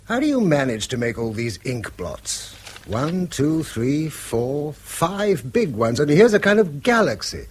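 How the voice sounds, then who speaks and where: An actor playing a pedantic, mild-tempered schoolmaster and husband in mid-century British radio drama, speaking precise Received Pronunciation in a gently scolding way. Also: male voice, on the high side, very animated, a little slow, slightly rough, heavily acted. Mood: donnish, chiding. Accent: English Received Pronunciation.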